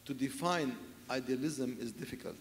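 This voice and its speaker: A man's voice: medium pitch, normal speed, heavy background noise. A man answering on a festival panel, earnest and searching for words, often saying "you know". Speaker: panel speaker, church leader. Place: large festival hall with audience. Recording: echoey hall sound.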